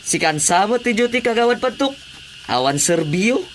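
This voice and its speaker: A woman's voice: mid-range voice, animated, normal speed, a little hiss or hum, natural voice. An actress with a Filipino accent playing a complaining village woman in a radio comedy drama.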